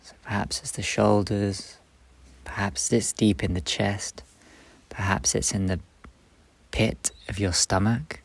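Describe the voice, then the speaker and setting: Low, creaky-voiced man guiding meditation. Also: fairly even intonation. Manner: slow, gentle delivery in short phrases with long pauses. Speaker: meditation guide. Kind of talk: guided meditation, instructional and soothing.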